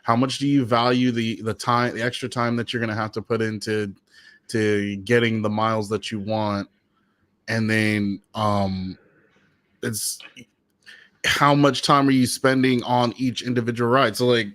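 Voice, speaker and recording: A man's voice: medium pitch, a bit bright, no echo. A man co-hosting a rideshare live stream, explaining points and reading viewer comments in casual chat. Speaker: rideshare podcast co-host.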